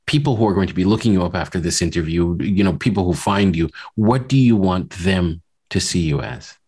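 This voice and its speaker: A man interviewing a guest, asking measured, probing questions. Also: sits low, expressive, darkish tone, husky.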